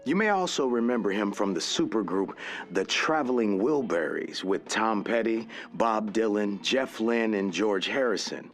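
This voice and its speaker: An actor playing a lonely, gentle radio DJ survivor in an audio drama, talking in a halting, reassuring way. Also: male voice, lowish voice, expressive, soft background music, lightly acted. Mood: wry, wistful.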